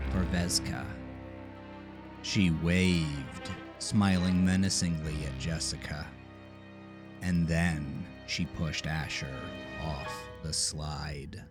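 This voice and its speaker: A man narrating a scripted horror story with deliberate, dramatic storytelling. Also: lowish voice. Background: music.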